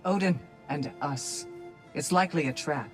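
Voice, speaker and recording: An actress playing a brisk, frank warrior woman in a video game, with a direct manner. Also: deep voice. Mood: wary. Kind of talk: video game cutscene acting. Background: game music.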